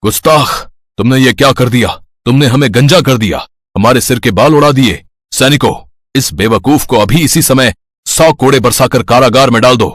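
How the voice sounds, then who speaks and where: A man voicing a commanding, quick-tempered king in a Hindi animated story. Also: medium pitch, dry recording, studio quality, close to an everyday voice. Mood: furious. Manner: commanding, formal. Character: imperious adult king, quick to anger.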